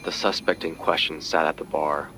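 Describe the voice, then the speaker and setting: An actor playing a weary male police detective who narrates his case into a recorder in a grave, measured, uneasy way. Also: a bass voice, steady tone, noisy background. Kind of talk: scripted audio drama narration.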